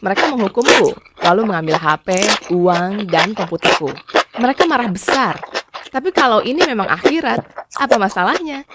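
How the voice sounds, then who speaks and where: A woman narrating a scripted first-person story in a dramatic storytelling style. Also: medium pitch. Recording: clearly noisy background with clicks.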